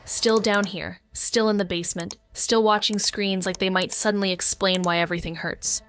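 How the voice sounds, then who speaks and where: A woman's voice: mid-range voice, fairly even intonation, moderate pace, fairly bright tone, slight background noise, close to an everyday voice. An actress playing a wounded, avoidant woman, narrating a noir audio drama in an introspective, brooding way. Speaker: narrating character.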